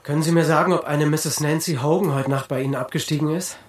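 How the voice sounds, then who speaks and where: A man's voice: a little high, steady tone, fast talker, natural voice. An actor in a German radio drama playing an anxious husband searching for his missing wife, hesitant and stammering, yet urgent. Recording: roomy sound with faint noise.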